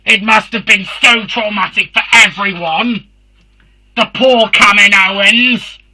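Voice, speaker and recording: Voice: medium pitch, takes it slowly, sharp tone, husky, heavily acted, low-quality recording. Harsh, buzzing Dalek robot. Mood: emphatic. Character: Dalek, shrill robotic alien warrior hosting a review show. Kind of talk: fan comedy review show in character.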